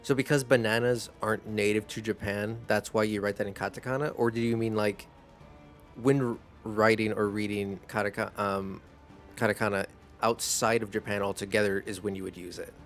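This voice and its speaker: Rambling man streamer, low voice. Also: moderate pace, soft background music. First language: Spanish. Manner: rambling, relaxed, laughs easily. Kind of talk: casual chat while gaming.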